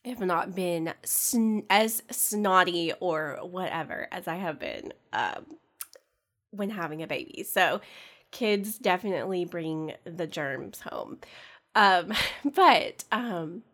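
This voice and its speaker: A young woman chats her way through a solo podcast at an unhurried pace, her voice on the lower side. She sounds stuffy and congested from a cold. She rambles easily, filling pauses with fillers and soft laughs. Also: animated. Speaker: podcast host. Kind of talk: casual solo podcast chat.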